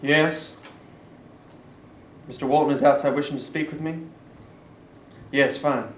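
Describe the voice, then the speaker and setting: A man playing a courteous, guarded school head in a staged play reading, polite, careful and deliberate in his speech. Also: fairly high pitch, fairly even intonation, close to an everyday voice. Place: indoor room at a live staged reading. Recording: echoey room, distant mic, noisy.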